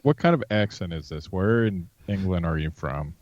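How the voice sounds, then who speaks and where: A man's voice: sits low, animated, moderate pace, darkish tone, no echo, muffled recording. A male podcast co-host with a sore throat who teases the guest bluntly. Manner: teasing, blunt.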